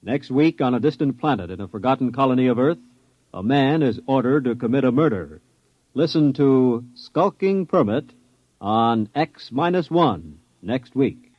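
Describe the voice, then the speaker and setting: A man's voice: mid-range voice, expressive, normal speed, no echo. A man narrating a preview in a dramatic 1950s radio announcer style. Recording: muffled, dry 1950s radio recording.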